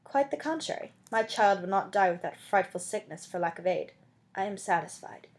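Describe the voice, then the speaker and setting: A woman's voice: medium pitch, animated, moderate pace, lightly acted. Lady doing a broke young mom, bitter n desperate, very dramatic, audiobook character. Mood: grim resignation. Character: poor, desperate young mother, bitter and defiant.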